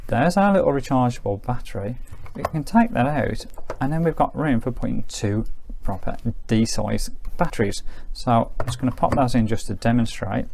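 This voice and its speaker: A man's voice: mid-range voice, moderate pace, gravelly, background noise. A man giving a casual hands-on product review in a methodical, explanatory manner. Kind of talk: casual hands-on gadget demonstration.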